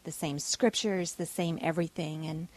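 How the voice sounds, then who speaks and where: Woman, Texan accent, earnest heartfelt storytelling, fairly low voice. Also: fairly even intonation, moderate pace. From Texas. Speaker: guest. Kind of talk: personal testimony.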